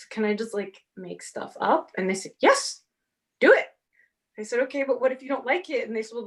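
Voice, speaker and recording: A woman in her forties whose first language is Portuguese, telling an animated story on a convention panel and acting out the exchanges she quotes. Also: mid-range voice. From half Brazilian, grew up speaking Portuguese. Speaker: panelist author. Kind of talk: casual panel talk.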